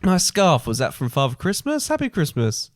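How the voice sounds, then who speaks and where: A man's voice: fairly high pitch, wildly swooping pitch, normal speed, no echo. A man playing a clueless, cheerful comic Scrooge, hesitant and flippant, as if improvising a pantomime.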